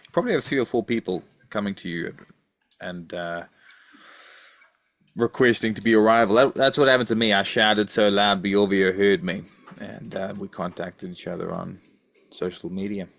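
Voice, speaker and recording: A man's voice: on the low side, normal speed, gravelly, a bit bright. A man co-hosting a podcast in casual chat, thoughtful and hesitant, often repeating his phrases. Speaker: podcast co-host.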